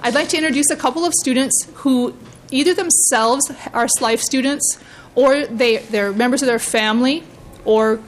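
A woman's voice: high-pitched, moderate pace, a little hiss or hum. A woman giving earnest, persuasive testimony before a legislative committee, speaking into a hearing-room microphone. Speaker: education advocate testifying.

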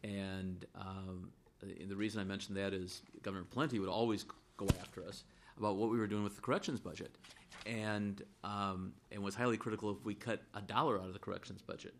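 A hoarse middle-aged man, a state senator who once chaired the finance committee, speaking deliberately in a legislative floor debate. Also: on the low side, steady tone, fairly bright tone, a little hiss or hum. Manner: deliberate, supplementing a colleague's point.

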